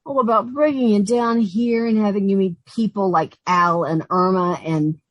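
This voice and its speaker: A woman's voice: medium pitch, steady tone, normal speed, no room sound, dull audio, natural voice. A woman playing a sensible, easily exasperated young working woman in an old-time radio comedy, speaking emphatically. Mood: apologetic, embarrassed. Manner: emphatic, long-suffering.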